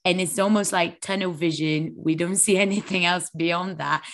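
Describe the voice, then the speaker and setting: Female podcast co-host, low gravelly voice, slightly fluey, chatty and animated. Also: normal speed. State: a little hoarse with flu. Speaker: online fitness coach. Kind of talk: casual podcast chat.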